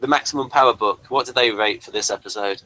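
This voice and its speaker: A man's voice: lowish voice, quick pace, pushed and bright, rough recording. A man co-hosting a casual episode review, rambling and repeating himself as he talks. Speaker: co-host of a TV review show.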